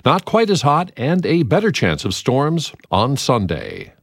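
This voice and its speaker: A man reading a scripted weather forecast in a steady broadcast delivery. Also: mid-range voice, measured pace, vocal fry, no echo, clean studio sound.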